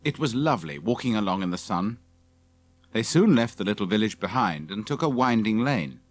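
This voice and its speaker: A man's voice: lowish voice, big pitch swings, a little fast, dry recording. A man reading scripted narration for a children's audiobook.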